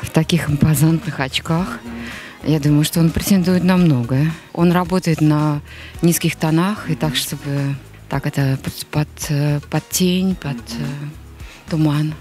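A woman answering a street interviewer outdoors, thinking aloud with pauses and fillers. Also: low voice, fairly even intonation, darkish tone, soft background music. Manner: hesitant, pausing mid-phrase. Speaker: passerby.

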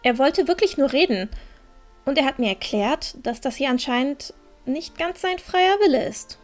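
A woman's voice: high voice, animated, normal speed, soft background music. A woman playing an earnest, pragmatic young girl adventurer in a fantasy audio drama, explaining and pleading. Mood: earnest, urgent. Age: young adult. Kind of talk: fan-made fantasy audio drama acting.

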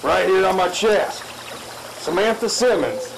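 A man's voice: sits high, lively intonation, unhurried, vocal fry, noisy background, full character voice. A man playing a younger, boastful fishing mate in a parody radio drama, eager and joking. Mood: playful, boastful.

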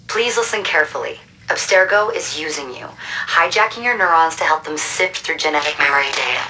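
A woman in her thirties playing a guarded resistance hacker, giving a brisk, matter-of-fact briefing in a game cutscene. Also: sits low, sharp tone, rough recording. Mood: urgent, businesslike. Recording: clear background noise.

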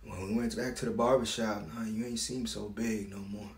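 In a reverberant room, a low male voice delivers a dramatic audition monologue. A man acts out a grown son, proud but wounded, laying his heart bare to his father. The delivery is theatrical and emotional. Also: fairly even intonation, normal speed, natural voice. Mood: disillusioned. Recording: echoey room.

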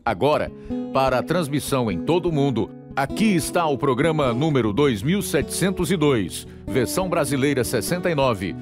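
A man's voice: mid-range voice, animated, normal speed. A man with a Brazilian accent, announcing and narrating a scripted dramatic radio programme. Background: guitar music.

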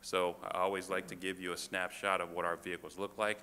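A man presenting to a city council as a fire department official, halting, with many fillers and restarts. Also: deep voice, level tone, pushed and bright. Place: council chamber. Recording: reverberant chamber, faint room noise.

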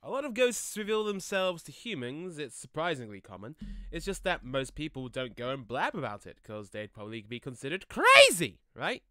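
Audio drama ghost played by a man: jokey, laid-back, chatty, bright crisp tone with very animated pitch. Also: moderate pace, dry recording, exaggerated voice. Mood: matter-of-fact, playful. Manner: casual, slangy, rambling. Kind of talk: audio play acting.

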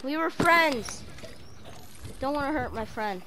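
A high-pitched young voice improvises game roleplay with friends. The delivery is slow and very lively in pitch, over a clearly noisy voice chat.

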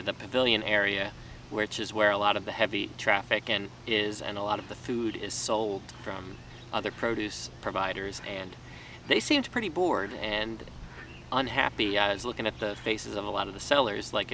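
A man's voice: lowish voice, normal speed, creaky, bright, forceful tone. A man in his thirties, a small farmer, talking casually and rambling a bit with fillers and restarts, filmed outdoors in a vegetable garden. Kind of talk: casual talking-head vlog. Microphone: camera mic from a few feet away. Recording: distant mic with faint outdoor noise.

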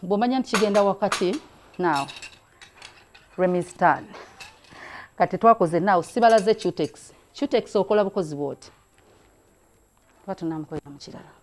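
A woman's voice: mid-range voice, expressive, fairly quick, pauses often, a little mellow. A middle-aged woman hosting a hands-on craft demonstration indoors, chatty and encouraging, switching between languages as she works. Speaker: craft show host. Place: indoor room at a craft table.

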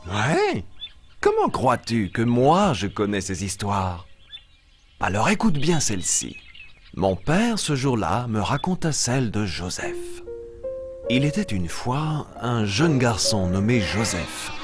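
Kids' puppet show lion: kindly, expressive storyteller, animated mid-range delivery over music. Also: male voice, mid-range voice, slow pace. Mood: storytelling. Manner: expressive, simple explaining to small children. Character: friendly young lion storyteller, warm and eager. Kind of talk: children's show dialogue and storytelling. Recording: music bed under the voice.

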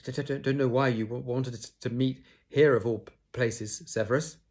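A man's voice: mid-range voice, fairly even intonation, a little mellow, pitched above the performer's own voice, lightly acted. A man voicing a nervous male teacher who stutters badly on every phrase. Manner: stammering, halting.

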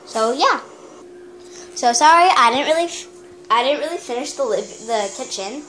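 A woman's voice: high-pitched, animated, normal speed, fairly bright tone. A young woman giving a rambling, giggly tour of her home, filmed on a handheld phone in a small house. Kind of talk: casual home tour narration. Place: small house, kitchen and bathroom. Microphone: handheld phone camera. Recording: noisy home phone video.

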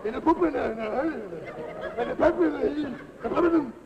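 An excitable Italian immigrant cook. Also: male voice, very high-pitched, quick pace, gravelly, muffled recording, a loud crowd. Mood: jovial. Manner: rambling, excitable broken English. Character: jolly old-country Italian immigrant cook, man. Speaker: comic cook. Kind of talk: live comedy sketch. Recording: live audience laughter, noisy old recording.

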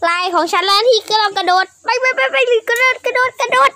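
Very high, bright excitable child's voice. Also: fast, no room sound, clean studio sound. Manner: excitable, exclaiming, repeats words. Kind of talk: improvised voicing of characters in a game-animation skit.

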